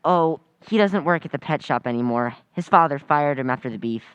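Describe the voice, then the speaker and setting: A woman's voice: low-pitched, lively intonation, brisk, smooth, no echo, muffled recording. A woman playing an eager, chatty teenage schoolboy in an old-time radio comedy, with gushing politeness. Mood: chatty, earnest. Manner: earnest, gushing, boyish.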